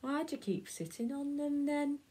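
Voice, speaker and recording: A woman's voice: high voice, normal speed, dark tone, voice pushed up, natural voice. A woman voicing a chatty, teasing male dog in a read-aloud picture book.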